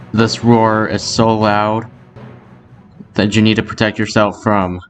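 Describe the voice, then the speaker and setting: A man reading a scripted breaking news bulletin as an announcer. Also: fairly low pitch. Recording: music bed behind the voice. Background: news theme music.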